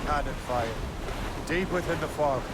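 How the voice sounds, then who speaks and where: An actor playing a stern, vengeful warship captain in a video game, giving terse lines. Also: male voice, on the high side, moderate pace, heavy background noise, lightly acted. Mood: wary. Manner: barks terse sailing and firing orders. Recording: loud music behind, sea battle effects.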